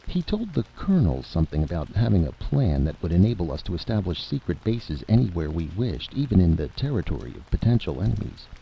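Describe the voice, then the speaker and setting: A man's voice: low-pitched, very animated, a little fast, vocal fry, hardly any pauses, pitched above the performer's own voice, full character voice. A man voicing an informal, probing army general in a radio drama. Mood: intrigued. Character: gruff, folksy, nagged-by-curiosity male army general. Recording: dull, noisy vintage radio recording.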